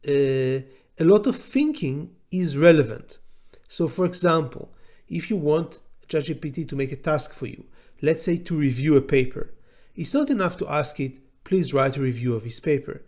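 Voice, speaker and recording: Hebrew native guy lecturing slowly, pauses a lot, kinda high but mellow voice. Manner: measured, deliberate, with pauses and repeated words. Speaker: teacher. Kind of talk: recorded lecture to students.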